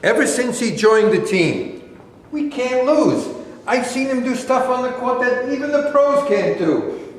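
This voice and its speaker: A man reading the part of an earnest high school basketball coach in a staged play. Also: sing-song, a little slow, heavily acted. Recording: echoey hall with long reverb.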